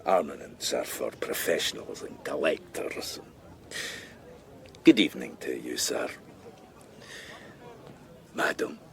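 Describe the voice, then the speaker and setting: An actor playing a slyly menacing, mock-courteous criminal in a radio drama, with unctuous drawling politeness. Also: male voice, very deep voice, expressive, takes it slowly, vocal fry, long pauses, fairly bright tone, full character voice. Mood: smug, sly.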